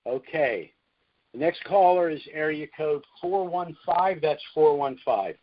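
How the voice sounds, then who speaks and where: A man hosting a call-in conference call, speaking off the cuff and haltingly over the telephone. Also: fairly high pitch, expressive, dry recording. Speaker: call-in show host. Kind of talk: unscripted conference call talk. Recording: dull lo-fi conference phone line.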